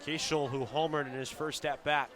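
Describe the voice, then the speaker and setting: Man, slightly high-pitched voice, radio baseball play-by-play, fluent descriptive calls, live ballpark crowd behind. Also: moderate pace. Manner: fluent descriptive calling, building to excited shouts on big plays. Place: outdoor baseball stadium broadcast position. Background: crowd noise.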